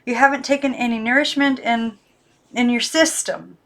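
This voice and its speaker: A woman voicing a frightened young girl who coaxes, then desperately shouts at her dolls. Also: a little high, moderate pace, pitched above the performer's own voice, lightly acted. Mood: coaxing. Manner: coaxing, then frantic and shouting.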